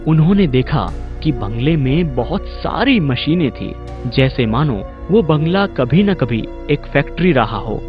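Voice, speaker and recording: A man's voice: on the high side, lively intonation, measured pace, dull audio, over music. A man narrating a scripted spooky story as a storyteller.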